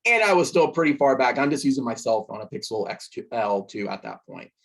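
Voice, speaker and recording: A young man with a hoarse voice, casually recapping a football game he attended, rambling with fillers. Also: mid-range voice, very animated, brisk, a bit bright. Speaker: sports podcast host.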